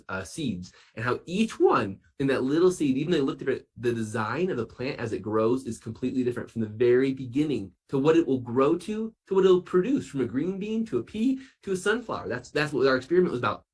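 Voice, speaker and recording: Man playing an excitable husky science doctor for kids. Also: mid-range voice. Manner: gushing, emphatic, enthusiastic. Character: excitable, wonder-filled science doctor for children. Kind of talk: kids' science show character.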